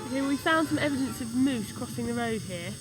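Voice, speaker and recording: A woman presenting a wildlife show outdoors in the cold, curious and chatty, quick to laugh. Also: fairly high pitch, expressive, music in the background, poor audio. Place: outdoors in snowy cold country. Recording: outdoor field noise.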